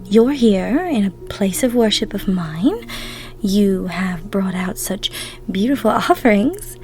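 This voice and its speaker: A woman playing a tender, emotional goddess of love in an intimate roleplay audio, cooing soothingly in a breathy, near-whispered voice. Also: medium pitch, expressive, takes it slowly, a little mellow, faint music behind. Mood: gracious, welcoming. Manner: soothing, cooing, coddling with pet names.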